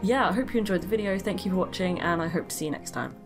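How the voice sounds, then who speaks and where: Chatty woman, slightly croaky and tired, fairly low voice, desk mic with music underneath. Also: racing. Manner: chatty, self-deprecating, laughs often. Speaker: art channel host. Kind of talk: casual voice-over commentary on a drawing. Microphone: desk microphone. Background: background music.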